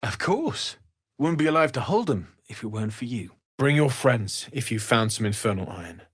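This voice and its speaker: A man's voice: mid-range voice, very animated, moderate pace, breathy edge, no room sound, clean studio sound. An actor playing an earnest, kindly young male blacksmith refugee in a fantasy video game, speaking sincerely and politely with plenty of expression. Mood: grateful, relieved. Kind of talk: scripted fantasy game dialogue.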